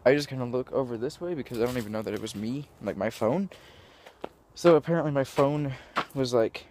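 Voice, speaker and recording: A man's voice: medium pitch, background noise. A teenage boy with a nasal, congested voice, rambling jokily in a selfie vlog recorded on a phone at arm's length outdoors. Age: teens. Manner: rambling, self-mocking, jokey. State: congested sinuses. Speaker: vlogger. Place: outdoors in cold woods, then indoors.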